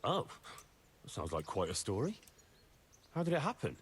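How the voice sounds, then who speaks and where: A man's voice: mid-range voice, huge pitch swings, moderate pace, breathy edge, heavily acted. A man playing an earnest, deferential young squire in a medieval video game, politely asking questions. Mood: curious, doubtful. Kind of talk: scripted video game dialogue.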